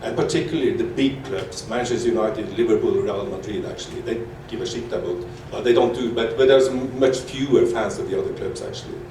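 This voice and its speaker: A man from Norway asking a question from the floor at a panel, halting and repeating his words. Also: on the low side, a little mellow, a little hiss or hum. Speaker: audience member asking a question. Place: large echoey conference hall. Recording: echoey hall audio from far off.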